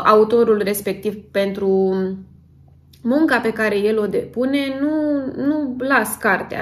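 The slightly high voice of a thirties woman vlogger at her kitchen table, talking to her followers with homely exclamations and a touch of drama. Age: 30s. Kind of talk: casual chat to camera. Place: home kitchen, indoors.

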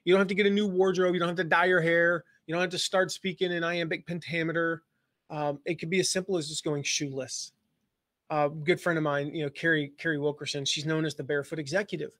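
A man's voice: fairly high pitch, little pitch movement, quick pace, a bit bright, no echo, clean studio sound. A man giving a casual live-stream business lesson, rambling and joking with lots of fillers and restarts. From Southern United States. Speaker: online business coach.

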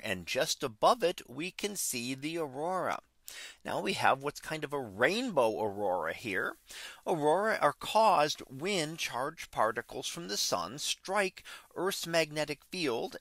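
A man talks through a picture as a podcast host, patient and plain in his explaining. His tone is bright and forceful, his pitch moves a lot, and the close mic sounds dry. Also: dry recording. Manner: plain, patient explaining with occasional fillers and restarts. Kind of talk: unscripted explanatory commentary.

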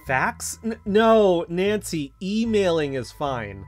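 An actor playing an excitable, anxious amateur investigator in an audio fiction podcast, speaking haltingly and emotionally. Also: medium pitch, faint music behind. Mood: excited.

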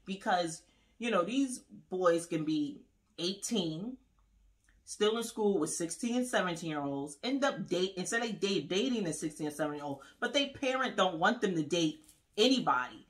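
A woman's voice: lowish voice, lively intonation, moderate pace. A woman in her forties, co-hosting a podcast in casual chat, rambling through stories and laughing often, recorded in a small living room. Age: 40s. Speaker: podcast co-host.

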